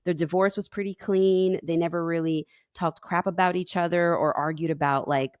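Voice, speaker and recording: Woman from peru chatting on a podcast, low voice, laughs a lot, dry close mic. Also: steady tone, moderate pace, clean tone, no echo. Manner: warm storytelling, laughs often. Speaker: relationship coach, podcast guest. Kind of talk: casual podcast conversation.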